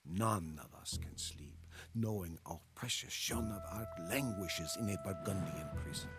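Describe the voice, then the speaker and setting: An actor playing a weary, devoted French soldier, narrating a war journal for a game in a solemn, dramatic, gravelly voice. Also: male voice, low voice. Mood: grieving. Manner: solemn, dramatic, measured delivery. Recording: loud music under the voice.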